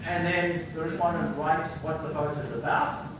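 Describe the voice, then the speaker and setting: A man lectures from slides, walking an audience through examples in an unhurried, explanatory way with plenty of ums. His voice sits a little high and comes from well away from the microphone. The hall rings with heavy echo and a steady background noise. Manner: explaining slides, reads prompts aloud, many fillers. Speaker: visiting professor. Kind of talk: university lecture. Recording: very echoey hall, distant mic, background noise.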